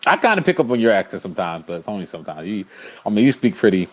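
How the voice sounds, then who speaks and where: A man's voice: lowish voice, very animated, racing. A man with a light Cleveland accent co-hosting a sports podcast in casual banter. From Cleveland, USA. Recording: dull, lo-fi microphone sound.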